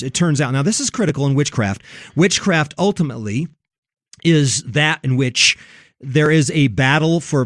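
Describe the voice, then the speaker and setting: A man's voice: a little high, no room sound, studio quality. A man hosting a religious discussion, giving opinionated commentary in an emphatic, preacherly way that leans on key words.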